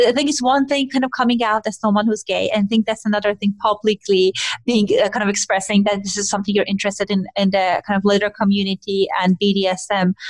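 A woman's voice: medium pitch, no echo, poor audio. A woman from Iran hosting a conversational interview in an affirming, validating manner. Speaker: host.

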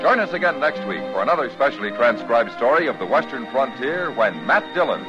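A man's voice: mid-range voice, very animated, fairly quick, loud music behind the voice. An American man announcing a 1950s radio program and reading its scripted sponsor copy. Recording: dull old radio recording.

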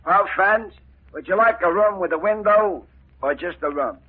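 A sly, genial pickpocket clerk. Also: male voice, sits high, moderate pace, husky. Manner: genial, wisecracking. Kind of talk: 1940s radio acting. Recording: lo-fi old radio broadcast.

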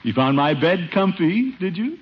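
Flustered, swooping radio uncle. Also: male voice, sits high, very animated, moderate pace. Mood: forced politeness. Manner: blustery, exasperated. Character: pompous, flustered middle-aged uncle, put-upon host. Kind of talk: 1950s radio sitcom acting. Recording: muffled, very noisy old radio recording.

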